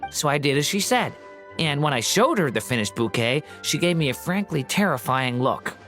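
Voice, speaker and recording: A naive storybook-born youngster in an anime-style game. The voice is slightly low yet very expressive, earnest and polite, over soft music. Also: female voice, moderate pace. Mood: sheepish, explaining.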